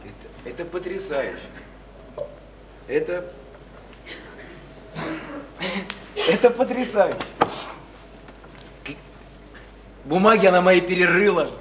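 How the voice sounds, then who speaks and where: Fed-up sarcastic guy in a radio drama, highish voice all over the place, agitated, noisy recording. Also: lively intonation, moderate pace. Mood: indignant. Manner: agitated, mocking, repetitive. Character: exasperated, sardonic man from the big city. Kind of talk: theatrical Russian radio play acting.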